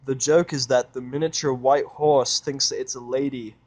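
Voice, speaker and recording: A man's voice: mid-range voice, steady tone, normal speed, darkish tone, lightly acted. A man playing a surly, cynical, menacing human loner in a story, speaking in a curt, hard, scornful way. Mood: mocking.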